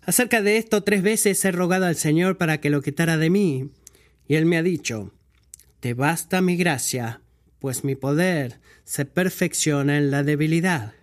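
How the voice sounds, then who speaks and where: Higher-voiced man preaching a sermon. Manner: measured, pausing, correcting his own slips. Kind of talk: scripture reading and sermon.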